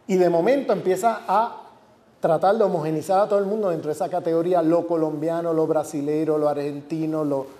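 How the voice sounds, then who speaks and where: A man's voice: high voice, dark tone. A man, a university professor, giving an academic lecture with emphasis, repeating and listing words for stress. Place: lecture hall. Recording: echoey lecture hall.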